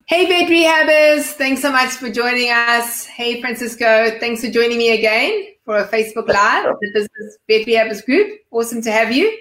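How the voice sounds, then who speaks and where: A woman's voice: a little high, normal speed, hardly any pauses, fairly bright tone. A woman hosting a live online video chat, chatty and full of "um" fillers as she talks. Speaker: podcast host. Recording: roomy live-stream audio.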